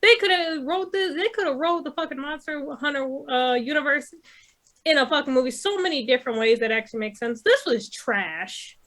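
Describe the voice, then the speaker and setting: A woman's voice: high voice, lively intonation, brisk, fairly bright tone. A woman in a casual opinionated panel chat, blunt and exasperated, swearing heavily. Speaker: podcast co-host.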